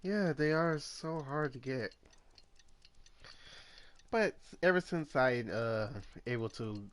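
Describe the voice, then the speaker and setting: A man casually commenting over his gameplay, talking in a halting way with many fillers and restarts. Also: medium pitch, expressive. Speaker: gameplay streamer.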